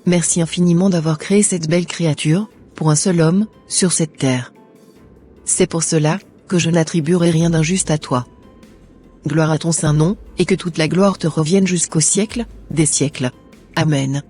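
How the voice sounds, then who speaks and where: Woman doing a gentle churchgoing bride in a cartoon, mellow husky voice, earnest, faint music behind. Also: low voice, moderate pace. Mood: grateful. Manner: earnest, prayerful.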